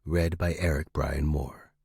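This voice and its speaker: A man's voice: extremely low pitch, flat delivery, measured pace, creaky, darkish tone, breathy edge, no room sound, studio quality. A man hosting a fiction podcast, chatting informally and reading aloud. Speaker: podcast host and story reader. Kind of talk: casual podcast intro and dramatic story narration.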